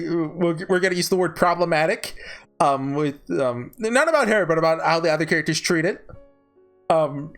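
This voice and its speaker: A man giving casual, off-the-cuff ranking commentary, rambling and often backtracking mid-sentence, with emphatic, enthusiastic fan opinions. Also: a little high. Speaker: host ranking visual novels. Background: background music.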